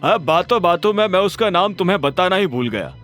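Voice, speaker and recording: Man doing a pushy mafia boss, higher and louder than the narration. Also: animated, a little fast, faint music behind. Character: older male mafia boss, blunt and excitable.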